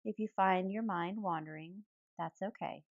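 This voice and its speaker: A woman guiding a yoga nidra meditation in calm, gentle phrases with pauses between them. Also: on the low side, dry recording, narrow, tinny audio. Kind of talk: guided relaxation meditation, soothing instruction.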